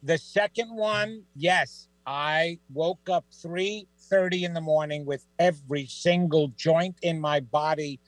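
A middle-aged man with a New York accent, a psychic guest on a talk show, telling stories in a lively, joking way. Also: a little high, measured pace, hardly any pauses, no echo, rough recording. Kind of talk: casual radio chat.

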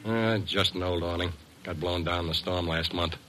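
An actor playing a blunt, excitable, streetwise client with slangy talk in an old-time radio detective drama. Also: male voice, low-pitched, moderate pace, background noise, lightly acted. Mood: edgy. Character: edgy, blunt male client, streetwise gambler.

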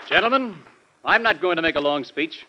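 Young outspoken gentleman, lively swoopy forceful voice, polite but headstrong, old dull radio recording. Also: fairly high pitch, normal speed, a faint crowd, exaggerated voice. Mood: boldly mocking. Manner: earnest, forthright, formally polite. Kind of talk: old-time radio drama acting. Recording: muffled vintage radio recording.

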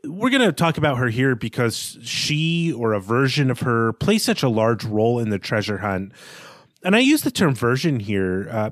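A man's voice: medium pitch, no echo. Man discussing books, very dry close sound. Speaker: podcast co-host. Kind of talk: literary discussion podcast.

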